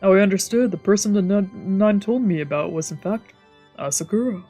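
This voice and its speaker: Mellow, husky woman reading a story aloud. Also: medium pitch, brisk. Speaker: VTuber streamer reading a visual novel aloud. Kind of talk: scripted narration with casual asides. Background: faint music.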